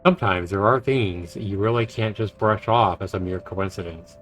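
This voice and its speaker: A man's voice: lowish voice, normal speed, fairly bright tone, a little hiss or hum. A man who streams games, reading visual novel narration aloud and reacting to it. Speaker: game streamer. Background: game music.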